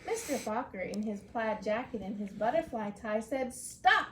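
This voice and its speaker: A woman schoolteacher giving an expressive storybook read-aloud lesson in a clear, explanatory classroom manner. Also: a little high, normal speed, slight background noise.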